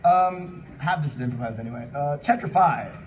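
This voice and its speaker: Male panel host, animated comic reader, highish voice, distant mic, busy audience room. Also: moderate pace, a crowd in the background. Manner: animated, exclamatory, breaks off to riff. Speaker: host reading fan fiction at a live panel. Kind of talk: comic live reading with asides.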